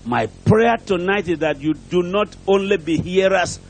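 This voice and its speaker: A male preacher with a strikingly high-pitched voice delivers an impassioned sermon. He declaims in short, repeated phrases and puts rhetorical questions to his listeners. There is faint noise and rumble behind him. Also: moderate pace. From outside England, preaches there as a visitor. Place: church pulpit, preaching to a congregation.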